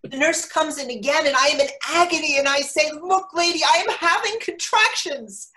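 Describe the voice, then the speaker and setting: A woman's voice: sits high, normal speed. A woman playing a wry, exasperated mother in labor, telling her story in a staged monologue reading. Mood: urgent agony. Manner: animated storytelling, quoting others. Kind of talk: dramatic monologue reading for readers theatre. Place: remote reading over video call. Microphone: video call.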